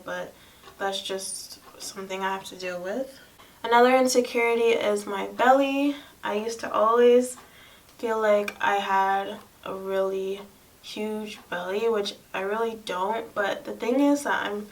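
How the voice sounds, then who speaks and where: A soft-spoken young woman with a girlish voice, chatting casually and candidly to camera about personal things. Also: mid-range voice. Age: young adult. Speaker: lifestyle vlogger. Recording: distant mic, faint room noise.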